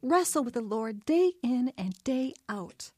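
A woman's voice: fairly high pitch, lively intonation, a little slow. An actress playing a devout, encouraging woman leading a Bible study in a radio drama. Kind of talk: radio drama acting, preaching.

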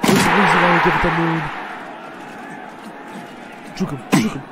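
A man with a stuffy voice from a cold, commentating his own video game play and getting excited on big plays. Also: a little high, normal speed, very noisy. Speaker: gaming channel host.

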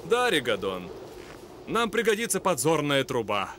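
An actor playing a courteous, unflappable lion explorer in a cartoon, speaking in a measured, formal way. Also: male voice, on the high side, wildly swooping pitch, noisy background. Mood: calm, instructive. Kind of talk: cartoon dub. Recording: music behind the voice.

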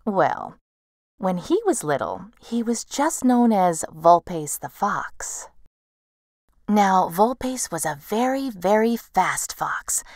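A woman storyteller narrating a bedtime tale in a gentle, measured manner. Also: mid-range voice, big pitch swings, no echo, studio quality. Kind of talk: slow bedtime storytelling in an animated game.

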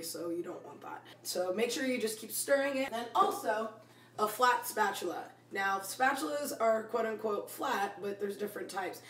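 A woman's voice: medium pitch, reverberant, far from the mic. A woman in her twenties hosting a casual home cooking vlog, playful and slangy, with a voice worn from use. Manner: playful, slangy, adds silly rhyming endings to words. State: losing her voice. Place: small home kitchen. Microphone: phone camera, close then a few steps away.

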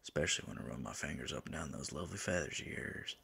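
A man acts out a flirtatious male demon in an intimate ASMR roleplay, smug but smitten. His deep drawl crackles with fry and swings expressively as he teases, slipping into breathy murmurs. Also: animated, fast, pushed and bright, heavily acted. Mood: playful, flirtatious.

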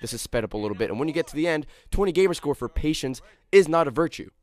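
A young man with a raspy, worn voice casually talking viewers through a video game walkthrough. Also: on the high side, lively intonation, rapid-fire, a little mellow, dry recording. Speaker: video game guide host. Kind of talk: casual gameplay walkthrough commentary.

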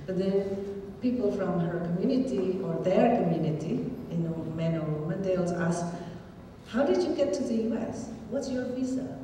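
A woman's voice: mid-range voice, expressive, normal speed, warm tone, slight background noise. A middle-aged woman, a native Indonesian speaker, gives an earnest talk as a shelter advocate, with many fillers and repeated phrases. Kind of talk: public talk. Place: large hall with an audience. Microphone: podium mic, recorded by a far camera. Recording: roomy hall reverb from far off.

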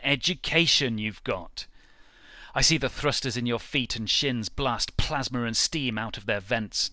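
A man giving a theatrical, intense and deliberate dramatic reading of satirical prose. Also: medium pitch, pushed and bright, dry recording. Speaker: amateur reader.

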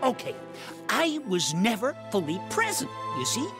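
A woman voicing a big, wistful, theatrical monster sorceress with grand melodrama in an animated game. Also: mid-range voice, moderate pace, music in the background, natural voice. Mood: puzzled, earnest. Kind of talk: animated game cutscene acting.

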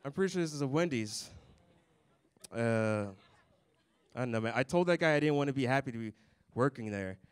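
A young man performing a stand-up set on a comedy club stage into a handheld mic, with a deadpan, dry delivery and little chuckles. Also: mid-range voice, brisk, long pauses, smooth, dry recording.

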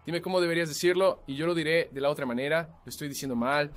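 Male host, from Canada, slightly higher voice, casual gear review with light music bed. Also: fast talker. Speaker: guitar reviewer. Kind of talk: product review talk.

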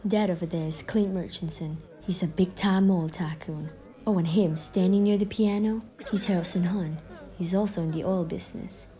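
A woman's voice: fairly low pitch, steady tone, moderate pace, dark tone, close to an everyday voice. A woman playing a chatty, confident society reporter in an audio play, with a Southern American accent. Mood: sociable.

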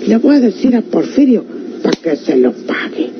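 A woman's voice: medium pitch, very animated, normal speed, lightly acted. Through a noisy, dull old radio recording comes an actress playing a resolute country woman with a rural Mexican accent. She talks in a plain, earnest, rustic way, as in a classic radio serial. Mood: matter-of-fact. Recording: muffled old radio recording, clear noise.

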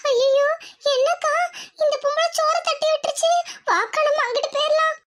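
A woman voicing a nervous, gossipy village woman in a cartoon family drama, chatty and excitable. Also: extremely high pitch, brisk. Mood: nervous, alarmed.

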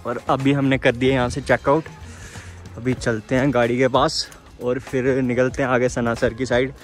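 A man's voice: medium pitch, little pitch movement, normal speed, soft background music. A man chatting informally to viewers as a travel vlogger, calling them bro. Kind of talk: casual vlog chat to camera.

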